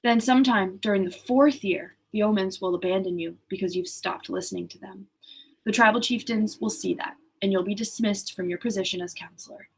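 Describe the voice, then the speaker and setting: A woman reading aloud the part of a wise male alchemist, a knowing mentor who foretells things in a measured storybook manner. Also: medium pitch, creaky, a little hiss or hum, lightly acted, poor audio. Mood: solemn, foretelling.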